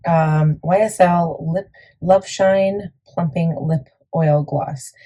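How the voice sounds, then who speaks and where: A woman with a gravelly morning voice, chatting casually through a makeup tutorial with lots of fillers. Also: steady tone, takes it slowly, warm tone. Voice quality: gravelly, deep. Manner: chatty, rambling, many fillers. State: morning voice, a bit crackly. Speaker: beauty vlogger.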